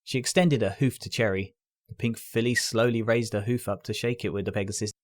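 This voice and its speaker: A man's voice: on the low side, steady tone, fairly quick. A man reading scripted story narration as the narrator. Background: music.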